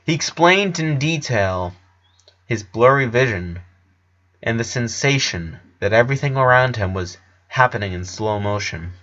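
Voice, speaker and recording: Bright-voiced man narrating a story. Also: mid-range voice, lively intonation, measured pace, highs cut off. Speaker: narrator of a fan fiction story.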